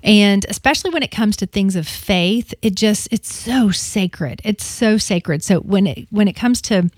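A woman's voice: medium pitch, lively intonation, a little slow. A woman in her fifties, a radio host talking casually and confessionally on air, with many restarts. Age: 50s.

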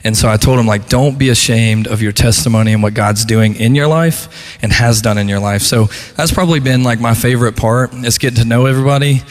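A man serving as a student pastor speaks earnestly in church, pausing often with fillers. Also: medium pitch, steady tone. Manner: earnest, hesitant with many ums. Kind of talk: casual church testimony. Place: church sanctuary. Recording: long hall reverb.

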